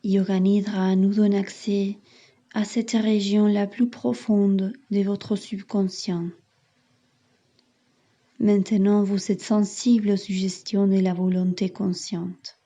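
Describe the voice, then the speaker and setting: A woman yoga teacher leading a guided meditation in soothing, measured instructions with long pauses, on a slightly muffled recording. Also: mid-range voice, little pitch movement, warm tone.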